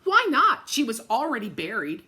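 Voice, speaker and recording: A woman reading a children's story aloud in an expressive, dramatic way, with chatty asides spoken directly to young listeners. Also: fairly high pitch, moderate pace. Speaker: story-time reader for children.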